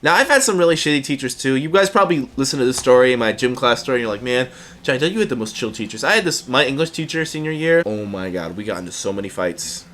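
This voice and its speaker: A man's voice: mid-range voice, lively intonation, fast, few pauses, a bit bright, slight background noise. A young man in his twenties tells a casual story in a rambling way, full of "like.". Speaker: vlogger.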